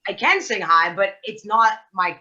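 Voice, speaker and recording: Bright-voiced woman, dull lo-fi audio. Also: mid-range voice, animated, a little slow. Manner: thoughtful, trails off with fillers. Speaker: guest vocalist. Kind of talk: podcast conversation.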